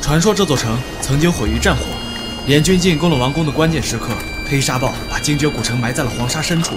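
An earnest expedition member, acted by a man in an audio drama. His voice is a little higher than average, with music clearly playing underneath. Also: fast talker, close to an everyday voice. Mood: awed. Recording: loud music under the dialogue.

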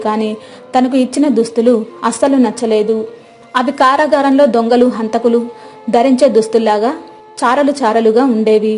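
Woman narrator, scripted storytelling, slightly high pitch, mellow tone, music behind.

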